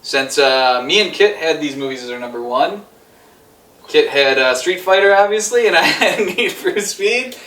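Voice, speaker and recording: A man's voice: on the high side, expressive, normal speed, pushed and bright. A man debating casually and emphatically as a show co-host, opinionated and given to swearing. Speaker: co-host of a movie ranking show. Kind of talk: casual argumentative debate among friends. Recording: echoey room, distant from the mic.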